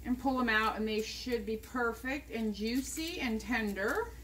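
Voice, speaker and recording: High-voiced chatty woman in a kitchen. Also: measured pace, a bit bright, a little hiss or hum, low-quality recording. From United States. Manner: chatty, talks viewers through each step. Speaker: vlogger cooking at home. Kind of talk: casual cooking demonstration. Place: home kitchen. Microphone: camera mounted above the counter.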